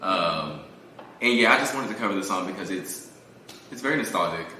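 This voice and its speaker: Man, lowish bright voice, casual animated storyteller as interview guest, recorded in a very reverberant room. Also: a little fast. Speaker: guest, singer-songwriter. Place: echoey room. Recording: very echoey room, faint noise.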